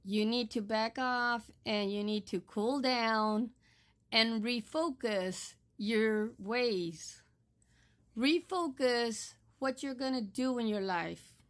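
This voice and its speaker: Slow, firm older woman from Texas. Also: on the high side. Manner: firm, admonishing, deliberate. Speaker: social media creator. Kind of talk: direct-to-camera monologue.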